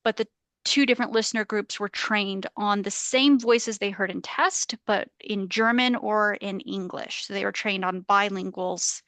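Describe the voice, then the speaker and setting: A woman's voice: mid-range voice, fairly even intonation, normal speed, fairly bright tone, no room sound. A woman researcher in an academic panel discussion on a video call, talking hesitantly with frequent restarts and fillers. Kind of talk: academic round-table discussion.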